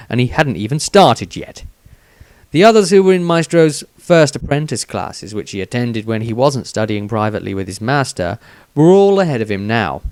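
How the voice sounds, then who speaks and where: A man reading his own fantasy story as scripted narration, in a deliberate, dramatic manner. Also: medium pitch, dry recording.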